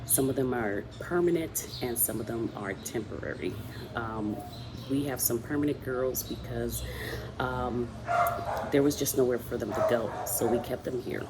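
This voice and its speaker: A woman's voice: a bass voice, moderate pace, heavy background noise. A woman from the Dominican Republic, hosting a casual talk, speaking conversationally with frequent fillers and restarts. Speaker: host. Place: outdoors with roosters and dogs nearby.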